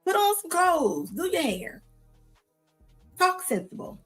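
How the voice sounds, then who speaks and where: A woman's voice: very high-pitched, sing-song, slow, fairly bright tone, soft background music. A woman hosting a live show, reacting to clips in a sassy, scolding tone with casual slang, often repeating herself. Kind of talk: live commentary on videos.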